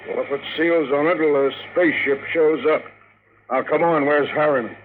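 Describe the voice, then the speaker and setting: An actor playing a gruff, skeptical deputy with an American accent and slangy, hesitant speech, in 1950s radio drama style. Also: male voice, a little high, normal speed, natural voice. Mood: officious, skeptical. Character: gruff, skeptical male small-time deputy serving court papers. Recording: tinny, noisy 1950s radio recording.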